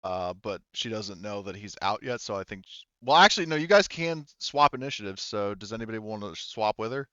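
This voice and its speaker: Smooth-voiced male game master, halting. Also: on the low side, expressive, a bit bright, no echo, dull audio. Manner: halting, thinking aloud through rules. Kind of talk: running a tabletop roleplaying game, casual.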